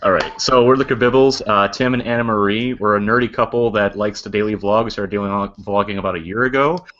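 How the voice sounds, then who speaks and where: A man vlogger gives a quick, relaxed self-introduction on a live video call. His voice is somewhat low. The audio is dull and lo-fi, like call audio. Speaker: guest vlogger. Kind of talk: casual live video chat.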